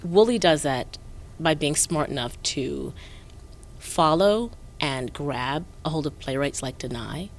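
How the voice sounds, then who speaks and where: A woman actor with a very low voice talks fluently and expressively in an interview. Her voice drops soft at times before lifting again. A faint rumble runs underneath. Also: takes it slowly. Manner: fluent, expressive, trails off softly. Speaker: actor guest. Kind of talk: casual interview.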